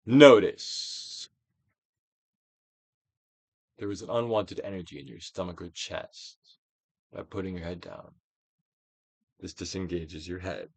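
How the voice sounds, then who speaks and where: A man's voice: sits low, slow pace, gravelly, pauses often, slightly rough, no room sound. A man giving a theatrical, declamatory dramatic reading of a self-help book, like a stage Shakespearean, drawing out phrases for emphasis. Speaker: audiobook reader, actor.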